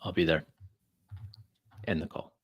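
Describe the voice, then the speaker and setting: A man's voice: unhurried, muffled recording. A man narrating his actions in a tabletop roleplay, improvising in terse, hesitant first-person phrases.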